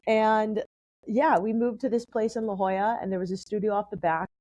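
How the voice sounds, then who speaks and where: A woman's voice: medium pitch, steady tone, moderate pace, mellow. A woman from San Diego, a podcast guest, telling long personal stories in a chatty, rambling way with plenty of "like" fillers. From San Diego, California. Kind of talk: casual conversational storytelling.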